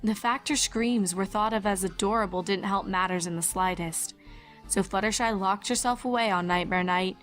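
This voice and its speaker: A woman's voice: medium pitch, normal speed, a bit bright, faint music behind. A woman reading scripted storybook narration as the narrator of a tale.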